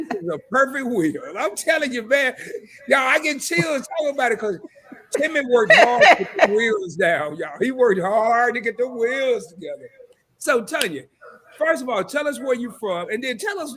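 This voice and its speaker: Animated high-voiced male host. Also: moderate pace. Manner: animated, laughs often, Southern slang. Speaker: live stream host. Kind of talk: casual interview chat.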